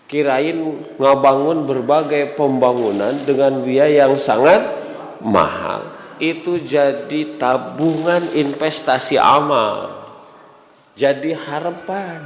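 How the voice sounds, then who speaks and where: Sundanese guy giving a sermon, unhurried, keeps repeating words and asking questions, lots of echo. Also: mid-range voice. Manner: didactic, repeats key words, asks rhetorical questions. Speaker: Islamic preacher. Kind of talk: sermon to a congregation, conversational teaching. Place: mosque hall. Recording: echoey hall with long reverb.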